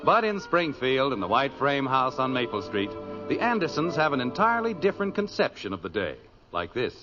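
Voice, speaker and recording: An American man announcing and narrating an old-time radio show in a polished, deliberate, scripted style. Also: mid-range voice, very animated. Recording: dull vintage radio recording with music.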